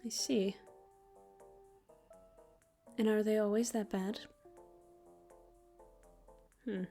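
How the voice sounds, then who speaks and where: Woman acting as a gentle female demon, mellow dark tone, soothing and intimate, protective, lightly teasing, partly whispered, ASMR roleplay, music behind. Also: mid-range voice, steady tone, drawn-out words. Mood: caring, reassuring.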